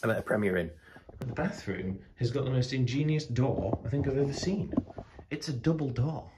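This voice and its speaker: A man's voice: mid-range voice, moderate pace. A man in his twenties vlogging casually to a handheld camera while on tour as a musician, chatty and jokey. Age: 20s. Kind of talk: casual vlog narration. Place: van, hotel room and small venue. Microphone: phone or camera on a handheld grip. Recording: noisy handheld phone audio.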